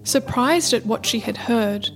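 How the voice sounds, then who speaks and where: A woman narrating a scripted folktale as a measured storyteller. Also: on the high side, rough recording. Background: music.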